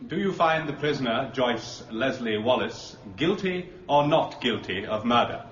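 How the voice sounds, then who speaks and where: A man's voice: medium pitch, expressive, measured pace, a bit bright. An actor playing a stern, formal male court clerk in a radio drama, declamatory in delivery. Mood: solemn. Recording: echoey, muffled, noisy courtroom scene.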